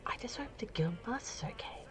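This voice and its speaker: A woman performing an ASMR roleplay as a poised vampire noblewoman, speaking slowly and deliberately in hushed tones with a layered echo effect. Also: on the high side, lively intonation. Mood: amazed, gossiping. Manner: slow, hushed, drawn-out deliberate phrasing. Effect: layered echo, reverb and reversed audio. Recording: layered echoing ASMR audio, background noise, music.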